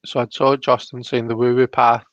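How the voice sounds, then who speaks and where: A man's voice: mid-range voice, little pitch movement, brisk, dry recording, lo-fi sound. A man hosting a casual tarot reading, explaining the cards in a hesitant, rambling way.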